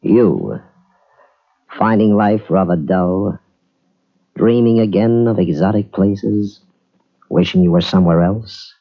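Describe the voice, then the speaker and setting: A man delivering a slow, hushed, dramatic promo as a 1940s radio announcer. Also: deep voice, vocal fry. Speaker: show announcer. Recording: muffled old radio tape with faint music.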